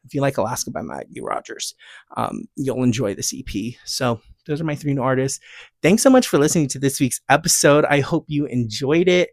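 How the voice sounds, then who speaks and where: A man's voice: mid-range voice, brisk, dry recording, clean studio sound. An American man hosting a podcast, talking casually and emphatically in a gushing, rambling way. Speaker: podcast host. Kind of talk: casual chatty solo podcast talk, music recommendations and rants.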